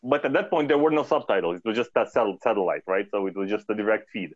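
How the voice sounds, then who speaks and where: A man's voice: lowish voice, quick pace, no room sound, lo-fi sound. A man from Romania talking as an interview guest, hesitant, with many fillers and restarts. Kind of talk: casual interview talk.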